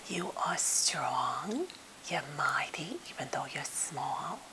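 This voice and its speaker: A woman acts out an anxious lady therapist who is a bit off but eager to help. She whispers breathily and takes her time, halting often and staying fussily polite. It is an ASMR role play, with a light hiss on the recording. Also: low-pitched, big pitch swings, heavily acted. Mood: gently encouraging. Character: anxious, well-meaning, slightly off woman therapist held captive. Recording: hissy, noisy home recording.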